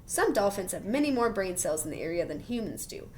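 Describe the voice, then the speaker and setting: A woman reads a children's science book aloud in the manner of a teacher. Her mid-pitched voice moves up and down in a lively way, with exclamations of wonder and encouraging asides. There is faint noise behind her. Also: fairly quick. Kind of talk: animated read-aloud with chatty asides to children.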